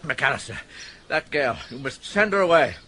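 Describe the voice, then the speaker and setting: An actor playing a fussy, flustered elderly English earl who blusters and frets. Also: male voice, mid-range voice, lively intonation, moderate pace, sharp tone, slightly rough. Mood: blustering.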